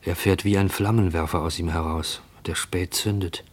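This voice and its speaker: Fearful shadower for radio drama: deep, rough-edged, tense and searching inner monologue. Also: male voice, steady tone. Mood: anxious, watchful. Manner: tense, hushed, questioning, deliberate. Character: fearful man shadowing a mysterious stranger.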